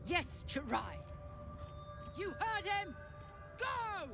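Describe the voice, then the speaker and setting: An actress voicing a stern, commanding alien warrior woman of high rank in a video game, clipped, formal and imperious. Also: big pitch swings, extremely slow, vocal fry, heavily acted. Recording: game audio with music behind.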